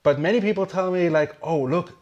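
A man's voice: sits high, a little mellow, muffled recording. Enthusiastic male interview host. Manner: enthusiastic and somewhat halting, with restarts. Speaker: podcast host. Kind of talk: hosting an interview show.

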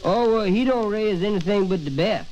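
A man's voice: high voice, normal speed, close to an everyday voice. A man playing a muddled, earnest rustic in an old radio comedy routine, with repetitive, ungrammatical folksy talk on a noisy recording. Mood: earnest explaining. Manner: folksy ungrammatical speech, repeats himself. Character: earnest, muddled rustic comic fellow named Pat.

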